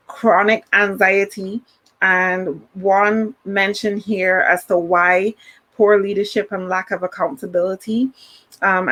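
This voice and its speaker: A woman's voice: lowish voice, fairly bright tone. A woman hosting a live podcast, talking straight to the audience in an upbeat way. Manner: upbeat, addresses the audience directly. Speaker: podcast host.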